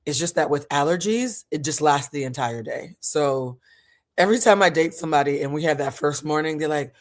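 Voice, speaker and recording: A vlog host in their forties with a husky voice, chatting casually and impromptu, talking frankly and swearing freely. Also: medium pitch, a little fast.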